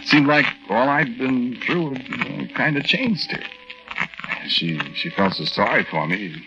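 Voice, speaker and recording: An American actor playing a nervous war veteran who tells his strange tale in halting, colloquial, confiding narration for a 1940s radio drama. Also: mid-range voice, expressive, music in the background. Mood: confiding, uneasy. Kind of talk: 1940s radio drama monologue, conversational first-person narration. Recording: noisy, muffled 1940s radio recording with clicks.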